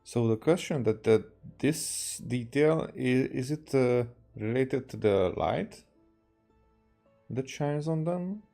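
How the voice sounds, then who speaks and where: A man with a Hungarian accent giving casual gameplay commentary, halting and repeating himself. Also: mid-range voice, lively intonation, warm tone, faint music behind. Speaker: game streamer.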